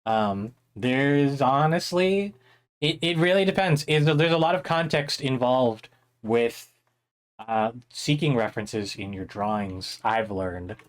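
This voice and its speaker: A young man, a little hoarse, teaching a drawing class on a live stream in a chatty, rambling way with many fillers. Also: mid-range voice, slow. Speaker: art instructor streaming a drawing workshop.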